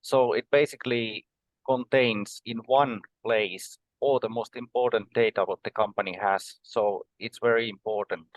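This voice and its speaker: A man in his forties from Finland, a podcast guest explaining things in a measured way, with many um and uh pauses. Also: on the low side, fairly even intonation, no echo, poor audio. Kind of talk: conversational podcast interview.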